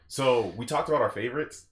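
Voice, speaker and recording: A young adult man hosting a casual podcast discussion, telling stories in an animated manner. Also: mid-range voice, normal speed. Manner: animated storytelling with acted quotes. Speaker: podcast host.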